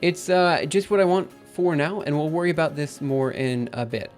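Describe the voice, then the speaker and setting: A man live-streaming his coding session, thinking aloud in an upbeat, chatty way while typing, his voice a little raspy. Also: on the high side, normal speed, soft background music. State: slightly raspy after a drink. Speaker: programming livestreamer. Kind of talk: live coding stream, casual talk-through.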